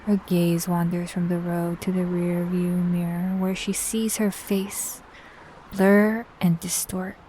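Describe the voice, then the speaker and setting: A woman narrating a scripted audio drama in a measured, suspenseful storytelling manner. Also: fairly low pitch, level tone, warm tone, slight background noise, rough recording. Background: faint music.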